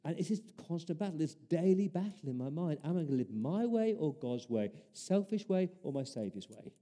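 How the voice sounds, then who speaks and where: A man's voice: fairly high pitch, animated, brisk, mellow. A man preaching a sermon as pastor, earnest, building his points through repeated rhetorical questions.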